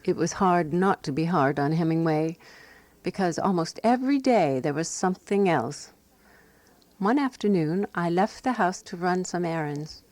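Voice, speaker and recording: An actress playing an exasperated, devoted woman companion who narrates a radio drama murder mystery in a measured, wry way. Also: on the low side, lively intonation, darkish tone, no room sound, close to an everyday voice. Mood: dry, reproachful.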